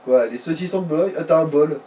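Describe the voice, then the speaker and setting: A man's voice: fairly high pitch, fast, warm tone. A man co-commentating a game in casual joking chat, wryly riffing on absurd ideas. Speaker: let's play co-commentator. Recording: distant microphone, faint noise.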